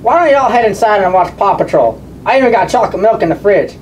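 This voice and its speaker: A woman's voice: medium pitch, expressive, fast, a little mellow, recorded from a distance, a little hiss or hum, natural voice. A woman voicing a friendly, helpful male country mechanic puppet, folksy and easygoing. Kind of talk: children's puppet show acting.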